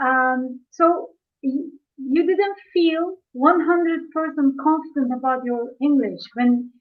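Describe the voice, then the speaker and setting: Turkish-native woman host on a tinny line. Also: high-pitched. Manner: halting, searching for words in English. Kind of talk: live-stream interview. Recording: phone-like call audio.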